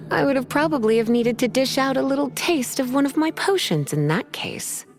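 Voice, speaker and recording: A poised witch librarian from a video game. Her silky voice sits a little high and rises and falls with lively expression, teasing and politely scolding with a quiet threat beneath. Soft music plays behind her. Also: normal speed. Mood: playful, composed. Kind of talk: video game character acting.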